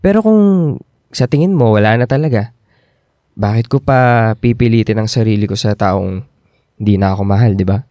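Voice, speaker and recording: An actor as an earnest, insecure Filipino boyfriend. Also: male voice, on the low side, moderate pace, long pauses, dark tone, no echo, studio quality, close to an everyday voice. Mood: subdued, pleading. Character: earnest, insecure young boyfriend pleading for commitment. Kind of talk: Filipino radio drama acting.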